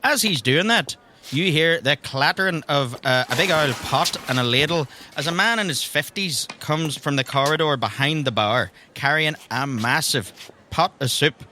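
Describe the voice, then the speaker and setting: A man's voice: a little high, expressive, normal speed, bright, forceful tone, a little hiss or hum. A man narrating an improvised tabletop game as game master, conversational and wry.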